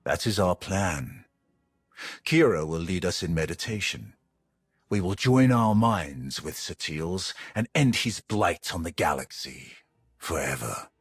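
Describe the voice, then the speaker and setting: Grim sith warrior for a game, really low n husky, slow and deliberate. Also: male voice, animated, no room sound, clean studio sound, full character voice. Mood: grim resolve. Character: grave, stern Sith warrior ally, grimly resolute. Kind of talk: dramatic game acting.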